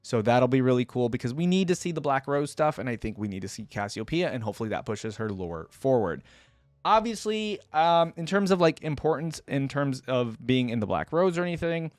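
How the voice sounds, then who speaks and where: A man's voice: medium pitch, very animated, a little fast, dry recording. A man giving casual fan commentary as a reaction video host, thinking aloud in a rambling, conversational way.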